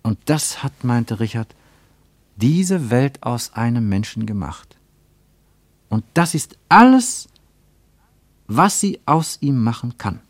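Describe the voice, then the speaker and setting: An actor narrating a literary radio play as a grave, reflective storyteller in a measured manner. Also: male voice, mid-range voice, very animated, dry recording. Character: grave, reflective male storyteller of a travel tale.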